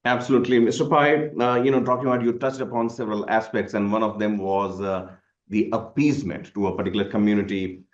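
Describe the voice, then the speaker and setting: A man's voice: on the low side, fairly even intonation, quick pace. A man hosting a news interview, asking questions with many hesitant fillers. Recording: echoey, dull lo-fi sound.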